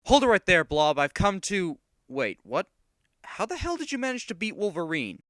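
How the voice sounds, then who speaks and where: A man acts a clueless young male superhero leader, indignant and whiny. His pitch swings widely, and the sound is dry and close, in the manner of a cartoon parody dub. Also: sing-song, moderate pace, fairly bright tone, no echo. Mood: exasperated.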